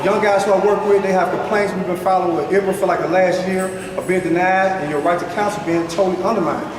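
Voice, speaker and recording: A man giving insistent, plain-spoken personal testimony as a community speaker at a press conference. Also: sits high. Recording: very echoey hall, distant mic, noisy.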